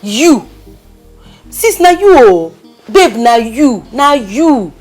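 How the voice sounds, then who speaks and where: A woman's voice: on the high side, big pitch swings, very slow, darkish tone, faint music behind, exaggerated voice. A woman with a Nigerian accent playing a pushy, caring friend who coaxes and pleads with emphasis. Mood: coaxing. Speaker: consoling friend.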